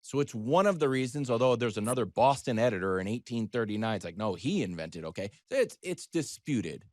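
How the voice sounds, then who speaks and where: Middle-aged man telling a wry, animated story. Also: mid-range voice, a little fast, dry recording, studio quality. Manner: animated, wry, acts out imagined dialogue. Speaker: sports talk show panelist. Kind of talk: conversational storytelling monologue.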